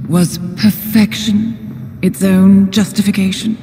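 Poised, cold spy handler, slow-speaking woman. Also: mid-range voice, flat delivery, vocal fry, background noise. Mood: cold, taunting. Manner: measured, deliberate, articulate. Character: poised, cold female spy handler, regretful yet menacing. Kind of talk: cinematic video game acting.

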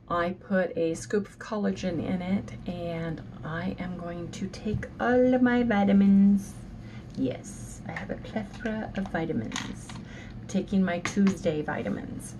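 A woman in her sixties, hoarse and a little nasal from sinus trouble, chatting casually in a morning vlog from her home office. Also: mid-range voice, noisy background. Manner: chatty, keeps saying "you guys". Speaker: vlogger.

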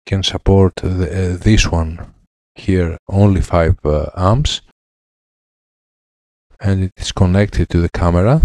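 A man in his thirties with a Greek accent, a repair technician explaining a laptop fix in halting English with many fillers, on a headset mic in his lab. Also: low-pitched, darkish tone, no echo. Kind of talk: hands-on repair tutorial. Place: electronics repair lab, indoors.

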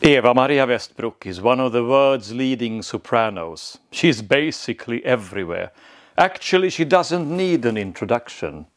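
A middle-aged Swedish man hosts an interview from home, his pitch rising and falling a lot as he speaks. He repeats words as he asks his questions, and his accent is clear. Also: expressive, moderate pace. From Sweden. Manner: hesitant, repeats words when asking questions. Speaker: host. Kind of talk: video call interview. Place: living room at home. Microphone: lapel mic.

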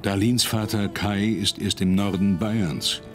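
A man narrating a television documentary in a calm, measured voice-over. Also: fairly low pitch. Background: music.

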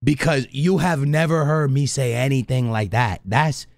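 A man's voice: medium pitch, moderate pace, vocal fry, dry recording, studio quality. A man in his thirties doing slangy, casual reaction commentary as a streamer, given to banter and emphatic repetition. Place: small indoor streaming room. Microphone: close studio microphone on a boom arm.

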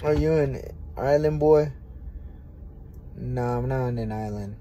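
Brash young man, mellow voice. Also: mid-range voice, measured pace, muffled recording, slight background noise. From United States. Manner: brash, repeats himself, swears freely. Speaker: boxer on a social media livestream. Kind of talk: casual livestream chat. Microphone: phone held close.

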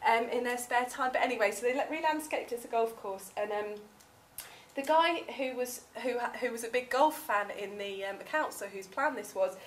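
Southern English woman academic, fairly high voice, casual talk with lots of ums, seminar room, distant mic, faint room hum. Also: moderate pace. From southern England. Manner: chatty, many ums, gestures while speaking. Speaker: academic researcher presenting. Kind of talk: conference talk with slides. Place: seminar room with projector screen. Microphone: far camera, room audio.

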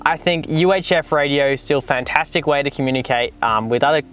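A young Australian man in his twenties presents a casual vlog. His voice sits a bit high for a man, and he talks in an informal, enthusiastic way, as if chatting to mates. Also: fairly quick, a bit bright. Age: 20s. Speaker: vlogger and presenter. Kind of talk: casual vlog review to camera. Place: in a car and outdoors in the bush.

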